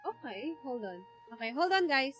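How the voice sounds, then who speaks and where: A woman's voice: high-pitched, very animated, normal speed, muffled recording, loud music behind the voice. A woman with a Filipino accent chatting casually as she comments on a live game playthrough. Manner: code-switching between English and Tagalog. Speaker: game streamer.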